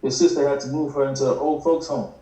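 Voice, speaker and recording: Frank, streetwise male pal acted by a man, dark tone, casual table read, distant echoey mic. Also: medium pitch, steady tone, quick pace, close to an everyday voice. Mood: frank, concerned. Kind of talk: naturalistic table read acting. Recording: echoey room, distant video call mic.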